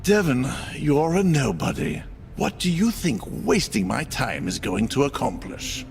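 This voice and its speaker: Pompous old ceo for a video game, super dramatic n scornful, lots of fry, music behind. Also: male voice, mid-range voice, big pitch swings, measured pace. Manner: pompous, contemptuous, theatrical. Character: haughty older male corporate executive, cold and dismissive.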